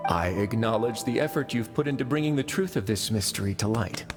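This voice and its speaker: A man voicing a dry, amused duke in a video game, formal and measured with a teasing edge. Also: on the low side, expressive, lightly acted. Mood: measured, testing. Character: suave adult male duke, dry, amused prison overseer. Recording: reverberant, with faint music behind.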